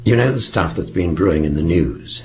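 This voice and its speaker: Very deep-voiced British spymaster. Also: male voice, lively intonation, normal speed, darkish tone, slight background noise. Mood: persuasive. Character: commanding British intelligence superior, dry and persuasive. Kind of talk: radio drama acting.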